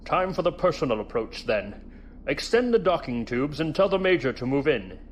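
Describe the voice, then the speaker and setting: Man acting a commanding imperial villain, lively pitch swings. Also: normal speed, a little hiss or hum. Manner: menacing, self-assured, commanding. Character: cold, arrogant imperial special operations colonel, male villain. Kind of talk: science fiction audio drama acting. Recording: music under the voice.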